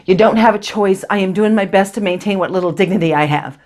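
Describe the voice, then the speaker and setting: A woman performing a dramatic stage monologue as a hurt, bitter wife confronting her husband, intense and controlled, with a breathy edge. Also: mid-range voice, quick pace, a little mellow, pitched above the performer's own voice, close to an everyday voice. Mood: cold, resolute. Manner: intense, accusing, controlled, dropping to near-whispers.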